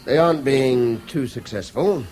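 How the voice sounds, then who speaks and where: A man's voice: medium pitch, unhurried, a little mellow, faint music behind, natural voice, poor audio. A British actor in a 1970s radio drama playing a guarded, conscientious man with a polite, hesitant manner. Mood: sober, wary.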